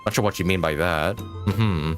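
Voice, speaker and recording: A man's voice: low voice, normal speed, fairly bright tone, soft background music. A man live-streaming a game, chatting casually with viewers in a joking, musing way.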